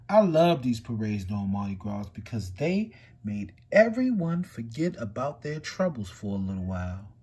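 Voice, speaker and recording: A man reading a picture book aloud with expressive, swinging delivery. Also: normal speed, breathy edge. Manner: expressive storytelling with big swings in pitch and loudness.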